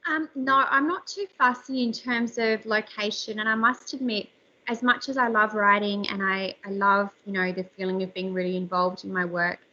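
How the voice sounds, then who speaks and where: Author in her thirties, woman, bright squeaky-edged voice, candid chatter, muffled call audio. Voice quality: slightly squeaky voice. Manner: chatty, candid, frequent fillers. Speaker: author and journalist. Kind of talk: casual interview chat. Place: home room. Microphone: video call. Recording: slightly dull video call audio.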